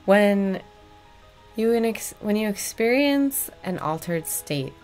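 A woman's voice: medium pitch, expressive, slow, a little mellow, soft background music. A young woman co-hosting a casual home podcast, laid-back and giggly, recorded on a webcam mic in a room with a green curtain. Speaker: podcast co-host. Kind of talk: casual chat.

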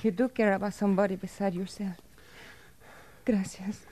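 A woman's voice: mid-range voice, level tone, moderate pace, mellow, natural voice. A woman playing a shaken young Mexican frontier woman in a 1950s radio western. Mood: softened, grateful. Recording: noisy old radio recording.

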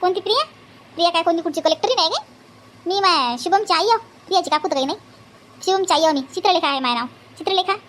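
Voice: extremely high pitch, fast, fairly bright tone. A performer voicing a bossy, grumbling village auntie in a family comedy cartoon, scolding and complaining in a colloquial Vidarbha Marathi accent. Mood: haughty, sly.

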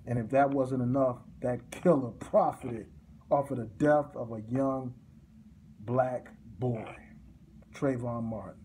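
A middle-aged man delivering an impassioned political monologue to a phone camera in a small room, forceful and repetitive, with a preaching cadence. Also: mid-range voice, slow pace, slight background noise. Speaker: commentator.

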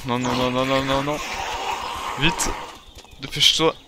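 Slow-paced man narrating gameplay over heavy noise. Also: medium pitch, flat delivery. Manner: muttering to himself in short, repeated phrases. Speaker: gaming video creator playing a survivor. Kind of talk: improvised first-person roleplay gameplay commentary. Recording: very noisy home recording, game sounds behind.

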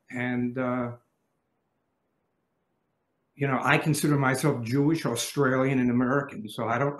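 Older male panelist, hesitant and reflective, mid pitch, echoey home room. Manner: halting, many restarts. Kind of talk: video call panel discussion. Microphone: video call.

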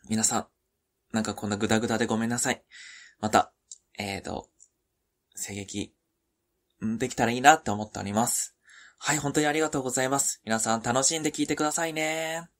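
A man's voice: medium pitch, fairly quick, long pauses. Apologetic man with a mid-range voice. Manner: apologetic, humble, effusively thankful. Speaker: amateur streamer and voice actor. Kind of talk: informal cast comment to listeners.

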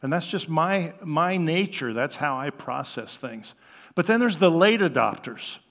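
An older American man preaching a conversational sermon, with an animated delivery that acts out quoted remarks. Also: on the high side, a little slow. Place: church sanctuary at a lectern, indoors. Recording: reverberant hall.

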